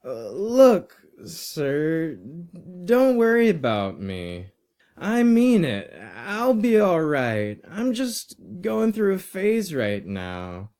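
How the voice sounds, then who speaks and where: A man's voice: fairly high pitch, huge pitch swings, very slow, darkish tone, voice pushed up, heavily acted. A man voicing an anxious teenage schoolboy in an audiobook, eager, hesitant and over-polite. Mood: nervous reassuring. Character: teenage boy student, anxious, politely placating an old teacher.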